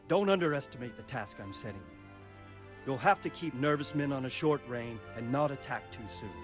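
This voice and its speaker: Game cutscene role: male actor as blunt, authoritative medieval commander, mid-pitched voice, scored underneath. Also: animated, fairly quick, soft background music, natural voice. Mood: firm, grave. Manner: authoritative, clipped orders. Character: stern medieval military commander, grown man, blunt. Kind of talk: dramatic game cutscene acting.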